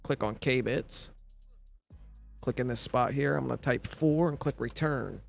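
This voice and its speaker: Male tutorial voice-over, scratchy and plain, mid pitch, faint music bed. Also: unhurried, creaky. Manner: instructional, walks through steps plainly. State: scratchy voice from recovering illness. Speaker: gaming tutorial narrator. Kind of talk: casual step-by-step game tutorial voice-over.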